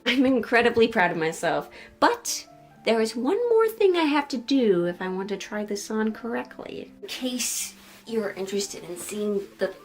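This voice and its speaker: A woman's voice: fairly high pitch, very animated, moderate pace, soft background music. A woman hosting a sewing vlog in a chatty, jokey, self-deprecating way, moving between casual asides and scripted narration. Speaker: sewing vlogger and host.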